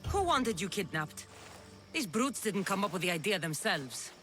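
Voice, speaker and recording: A woman's voice: medium pitch, big pitch swings, moderate pace, pushed and bright, soft background music. Blunt, self-assured warrior woman. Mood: accusing. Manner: blunt, confident. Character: tough, self-assured female mercenary of ancient Greece. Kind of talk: video game cutscene acting.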